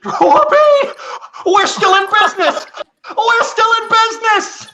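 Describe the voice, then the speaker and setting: A man playing a folksy, excitable uncle in his late fifties, in a live radio play reading. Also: very high voice, a little slow, heavy background noise. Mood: jubilant. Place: remote online reading from home.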